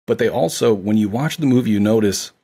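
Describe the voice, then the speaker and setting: A man's voice: lowish voice, brisk, warm tone, husky. A man co-hosting a podcast, talking casually and enthusiastically about a film, with lots of fillers. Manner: enthusiastic, rambling with many fillers. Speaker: podcast co-host. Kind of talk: casual movie discussion.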